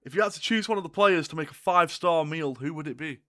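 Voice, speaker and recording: A man's voice: on the high side, fast talker, bright, forceful tone. A young man with a Scouse-tinged Northern English accent, co-hosting a casual football podcast in a laid-back, agreeable way and laughing often. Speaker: podcast co-host.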